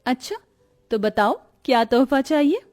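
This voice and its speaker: A woman playing an innocent, timid young woman with a girlish manner in an animated story. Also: high-pitched, normal speed, faint music behind. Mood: hesitant.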